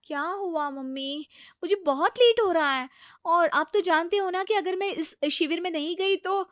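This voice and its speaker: A woman's voice: very high voice, moderate pace, fairly bright tone, no echo, studio quality, voice pushed up. A woman voicing a busy, self-important young daughter-in-law, preachy and exasperated, in a story dialogue. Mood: hurried. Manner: self-righteous, excuse-making. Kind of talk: acted dialogue in a Hindi moral story.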